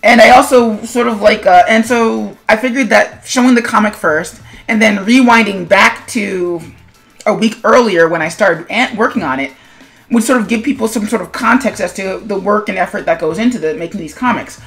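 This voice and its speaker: A woman's voice: medium pitch, moderate pace. Casual, rambling woman over music. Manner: rambling, self-deprecating, conversational. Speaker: webcomic animator and video host. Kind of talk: casual commentary vlog on own animation.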